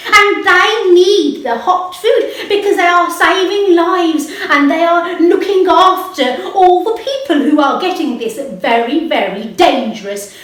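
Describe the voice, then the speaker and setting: Woman playing a patient, unhurried teacher, very echoey. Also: very high-pitched, fairly bright tone, distant mic. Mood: patient, explaining. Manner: explaining simply and patiently to a child. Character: patient, encouraging adult woman teacher, Mrs Kaur. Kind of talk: children's puppet show. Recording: very echoey, roomy sound.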